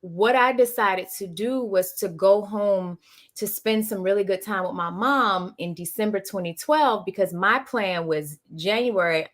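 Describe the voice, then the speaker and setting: A woman's voice: mid-range voice, measured pace. A woman hosting a podcast, telling a personal story candidly in casual chat. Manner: candid, emotional.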